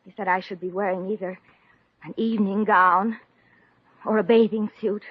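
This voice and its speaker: An actress playing a mousy, bashful, earnest young woman with a halting, coy delivery in a 1950s radio comedy. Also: medium pitch, steady tone, husky, no echo, natural voice. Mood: bashful, hesitant. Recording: dull old-time radio recording.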